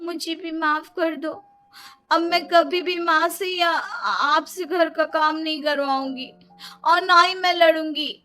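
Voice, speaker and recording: A woman's voice: very high voice, steady tone, slow, sharp tone, soft background music, heavily acted. A woman voicing a whiny, quarrelsome, indignant young wife in a children's cartoon. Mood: pleading. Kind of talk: Hindi children's cartoon dubbing.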